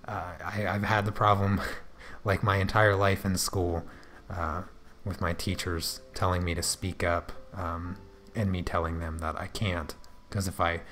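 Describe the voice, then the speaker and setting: A soft-spoken man chatting casually on a drawing livestream, hesitant, with frequent restarts and fillers. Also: low-pitched. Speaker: artist livestreaming while drawing. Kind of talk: casual livestream chat answering viewers.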